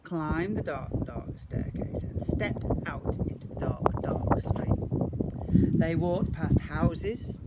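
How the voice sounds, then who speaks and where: A man reading a storybook to young children, theatrical, with deliberate pauses and repetition. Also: on the high side, heavy background noise.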